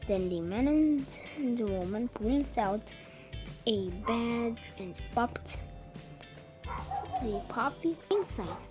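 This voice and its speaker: Very slow, high-voiced woman reading a story. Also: lively intonation, darkish tone. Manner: halting, word-by-word reading with short phrases. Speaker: story reader. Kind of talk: slow children's bedtime story read-aloud. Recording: music playing behind the voice. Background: music.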